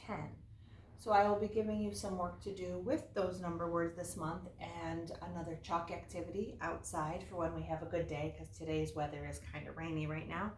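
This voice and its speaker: A woman teaching young children, explaining things simply, asking the listener questions and reading aloud. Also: fairly low pitch. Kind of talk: early-grade lesson and read-aloud to children. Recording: roomy, distant mic, faint noise.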